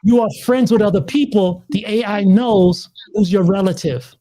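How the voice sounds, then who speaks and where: Halting man with a mellow, dark voice. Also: high voice. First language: not Taiwanese, lives in Taiwan. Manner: halting, restarts often, searching for words. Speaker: podcast host. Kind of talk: casual conversation.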